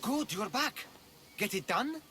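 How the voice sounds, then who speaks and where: A man's voice: high-pitched, lively intonation, takes it slowly, sharp tone, slight background noise, heavily acted. An actor playing a jovial, scheming male betting man in a video game. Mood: conspiratorial. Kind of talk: video game dialogue acting.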